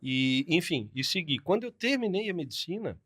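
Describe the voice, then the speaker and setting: A man's voice: a little high, sing-song, normal speed, a bit bright, breathy edge. A man in his fifties from Florianópolis with a southern Brazilian accent, a plastic surgeon telling his story in a laid-back, chatty way as a podcast guest. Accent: southern Brazilian Portuguese. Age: 50s. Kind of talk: casual interview storytelling.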